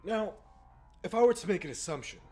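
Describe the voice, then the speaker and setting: A man's voice: high-pitched, animated, fairly quick, darkish tone. A man in a casual, exasperated rant as a gaming video host, informal and sweary. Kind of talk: casual opinionated rant to camera or mic. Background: background music.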